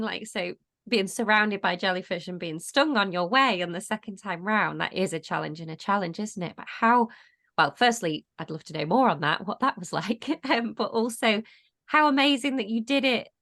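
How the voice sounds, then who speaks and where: A woman's voice: medium pitch, vocal fry, fairly bright tone. A woman co-hosting a podcast who talks in a reflective, casual way, with lots of "like" and "um". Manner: reflective, filler-heavy, uses "like" often. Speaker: podcast co-host. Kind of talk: casual conversation.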